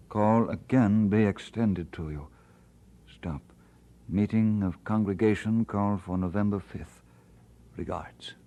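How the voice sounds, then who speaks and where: An actor playing an earnest, conscientious male pastor in an audio drama, speaking in a measured, deliberate way. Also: fairly low pitch, mellow, highs cut off, natural voice. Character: earnest, conscientious male pastor torn over his calling. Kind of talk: dramatised radio-play acting.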